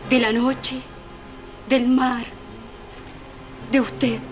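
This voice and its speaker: An actress playing a frightened, yearning heroine in a romantic radio drama, pleading and melodramatic. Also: female voice, on the high side, level tone, very slow. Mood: fearful, pleading. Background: prominent background music.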